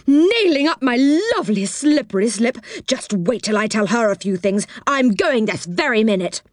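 A woman's voice: mid-range voice, normal speed, no room sound, studio quality, natural voice. A woman voicing a hot-tempered, round-faced moon man in a storybook, exclaiming indignantly.

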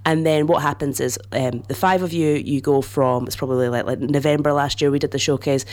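A woman's voice: sits low, steady tone, a little fast, a little mellow. A Scottish woman in her thirties, a comedian being interviewed in a radio studio, with a dry, wry delivery that sounds sarcastic and frequent laughter. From Scotland. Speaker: comedian guest. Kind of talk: casual radio interview chat.

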